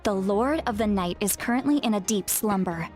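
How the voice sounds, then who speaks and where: A woman's voice: medium pitch, darkish tone, soft background music, natural voice. Woman acting a solemn, self-assured oracle shaman. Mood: matter-of-fact. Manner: measured, formal, authoritative. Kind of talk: video game character acting.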